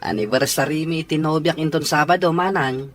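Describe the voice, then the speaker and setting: A man acting an earnest, gentle young man in love, with an Ilocano accent, in a radio drama. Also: on the high side, fairly quick, faint music behind, close to an everyday voice. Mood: polite. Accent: Filipino, Ilocano. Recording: faint background noise.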